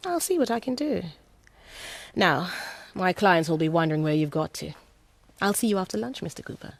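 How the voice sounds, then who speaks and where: Poised, husky-voiced saleswoman. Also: fairly low pitch, animated, moderate pace, dry recording. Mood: businesslike. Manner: poised, persuasive, formally courteous. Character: smooth, assured female gallery saleswoman pitching a property investment. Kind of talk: radio drama acting.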